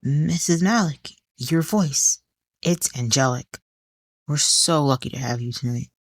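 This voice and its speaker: A woman voicing a petite younger socialite who is sweetly flattering and slyly needling, in a polite audio drama performance. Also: sits low, unhurried, dry recording. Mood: gushing.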